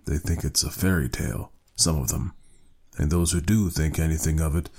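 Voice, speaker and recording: Man voicing a grave, deep, gruff old soldier. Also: fairly even intonation, darkish tone, heavily acted. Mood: weary, reluctant. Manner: slow, solemn, gruff. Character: grave middle-aged sergeant major, weary and haunted. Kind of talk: storybook character reading.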